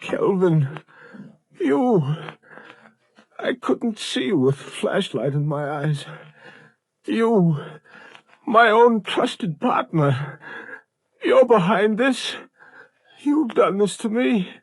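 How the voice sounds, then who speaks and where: An actor playing a starved, parched, wronged middle-aged captive, anguished and melodramatic, in a 1930s radio drama. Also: male voice, high voice, lively intonation, drawn-out words, pauses often, slightly rough, dry recording, full character voice, poor audio. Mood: anguished defiance. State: weak and parched. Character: weak, starved, wronged father held captive, defiant.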